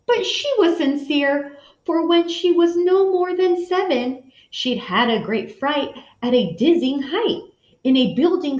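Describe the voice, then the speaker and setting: A woman in her thirties reading a picture book aloud dramatically, speaking to young listeners. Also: high voice. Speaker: children's story reader. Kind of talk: expressive picture-book read-aloud with asides. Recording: dull sound in echoey room.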